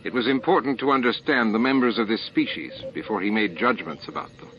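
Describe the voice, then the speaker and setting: A man's voice: on the low side, fairly quick, fairly bright tone. A man reading a novel aloud as a scripted audiobook narration, on a dull lo-fi recording with music behind him. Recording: dull, lo-fi cassette-like sound. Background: background music.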